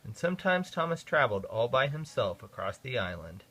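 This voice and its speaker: A father reading a children's picture book aloud in an expressive, sing-song way. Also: mid-range voice, a little fast. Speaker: father reading to his kids.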